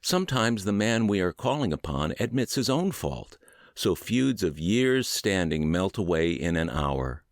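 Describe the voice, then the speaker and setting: A man with a low, deep voice narrates a book for a podcast. His intonation moves up and down in a lively way, and he sets out each phrase deliberately with clear pauses. The sound is very dry, with no room around the voice. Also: no room sound. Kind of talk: audiobook reading of a book, scripted narration.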